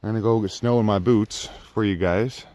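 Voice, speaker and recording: A middle-aged man giving casual, halting, off-the-cuff commentary as he walks around outdoors with a handheld camera. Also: fairly low pitch, fairly even intonation, warm tone. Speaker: exploration vlogger. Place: outdoors in snowy burned-out house ruins. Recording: clearly noisy outdoor recording with clicks.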